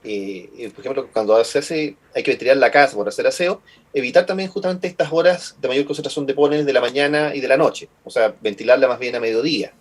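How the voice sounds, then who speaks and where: A man's voice: medium pitch, fast talker, breathy edge. A man with a Chilean accent, a lung doctor as a guest, explaining at length and often repeating words as he finds his phrasing. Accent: Chilean Spanish. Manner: patient, repeats words while finding phrasing. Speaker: pulmonologist guest. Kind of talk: explanatory interview answers.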